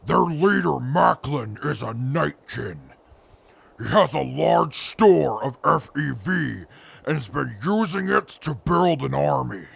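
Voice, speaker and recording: A man playing a hulking, menacing super mutant brute with a gravelly, husky voice in an audio drama. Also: on the high side, lively intonation, takes it slowly, exaggerated voice. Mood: grave, urgent. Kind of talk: post-apocalyptic audio drama acting.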